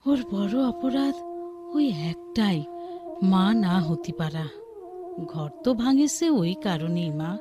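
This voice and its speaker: An actress playing a gentle, dutiful village daughter-in-law and mother in a Bengali radio drama, speaking earnestly with a rural Bangladeshi accent. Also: medium pitch, expressive, slow pace, long pauses, over music. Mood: gentle, pleading.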